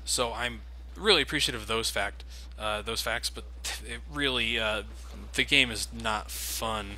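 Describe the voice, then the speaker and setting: A man's voice: mid-range voice, a little slow, sharp tone. A man giving sarcastic, casual commentary over his own gameplay, swearing freely. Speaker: let's play commentator. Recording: game noise underneath.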